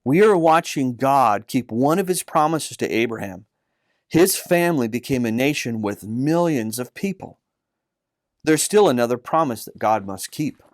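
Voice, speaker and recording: A man's voice: mid-range voice, lively intonation, moderate pace, no room sound. Man narrating a children's story, dry sound. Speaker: storyteller, author reading own story.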